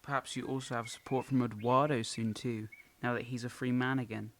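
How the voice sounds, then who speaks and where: A man's voice: mid-range voice, level tone, fairly quick, a bit bright, lightly acted. A young man playing a self-doubting, poetic farmer's son in an amateur audio drama. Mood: reassuring.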